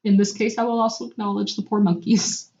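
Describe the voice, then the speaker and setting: A woman podcast co-host in casual chat, animated and reactive, swearing casually, recorded with a dull sound in an echoey room. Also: mid-range voice, brisk.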